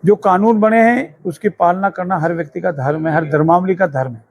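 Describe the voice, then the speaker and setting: A man's voice: sits high, brisk, a little mellow. A male politician making a public statement to the press, emphatic and repeating his phrases in lists. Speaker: politician, government minister.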